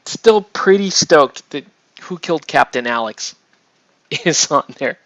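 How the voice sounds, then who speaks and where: A man chatting casually on a livestream while he paints miniatures, rambling and excitable, often breaking off mid-sentence. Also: on the high side, slow pace, no echo, muffled recording. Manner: rambling, excitable, self-interrupting with laughs.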